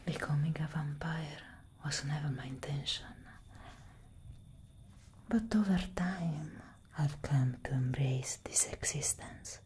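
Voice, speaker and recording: A young woman playing a brooding, protective elder vampire sister in a soft, breathy, whispered ASMR roleplay. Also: low voice, slight background noise. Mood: mournful, resolute. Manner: breathy, slow, intimate, dramatic.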